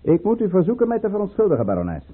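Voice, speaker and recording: An actor playing a commanding, guarded count and governor in an old-fashioned radio drama, with a formal, deliberate, urbane delivery. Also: male voice, high voice, huge pitch swings, husky, exaggerated voice. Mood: stern, courteous. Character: commanding, guarded male count and governor, coolly courteous schemer. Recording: muffled, phone-like old radio recording.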